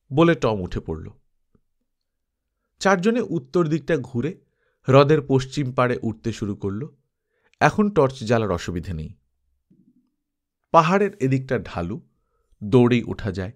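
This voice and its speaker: A man narrating an adventure story as an audiobook, in an expressive, suspenseful, dramatic style. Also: mid-range voice, unhurried, pauses often.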